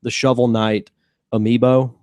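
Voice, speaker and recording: A man co-hosting a podcast, calmly asking his co-hosts about gaming news. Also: medium pitch, unhurried, no room sound, clean studio sound. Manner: relaxed, asks questions. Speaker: podcast co-host. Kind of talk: casual chat, leading the topic.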